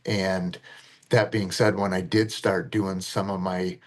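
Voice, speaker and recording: A middle-aged man, a retired officer and deputy sheriff, telling his story in a plainspoken podcast interview with a low, fairly monotone voice. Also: slow pace. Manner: earnest, plainspoken storytelling with fillers.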